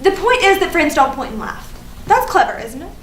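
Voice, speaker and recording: A woman's voice: high-pitched, big pitch swings, a little fast, fairly bright tone. A woman acting an indignant, hurt young woman obsessed with social media, in an emphatic staged reading. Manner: emphatic, repetitive, swearing. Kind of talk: theatrical staged reading of a comic play. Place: live staged reading in an echoey room. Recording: echoey, noisy stage recording from far off.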